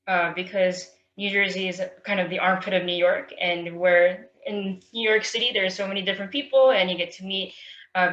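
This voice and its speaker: A woman speaks haltingly on a panel, filling gaps as she hunts for the right words. Her voice sounds roomy, and the audio is dull and lo-fi. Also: medium pitch, a bit bright. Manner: hesitant, full of fillers, searching for words. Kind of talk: personal storytelling on a panel.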